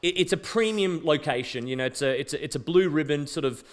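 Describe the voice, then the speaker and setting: A man talks up a property with a loud, eager voice that sits a touch higher than most men's. He speaks casually, repeats himself, and jokes about himself as he goes. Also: fast talker. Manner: loud, enthusiastic, self-joking. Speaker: real estate agent. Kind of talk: property walkthrough chat. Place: outdoors at a house.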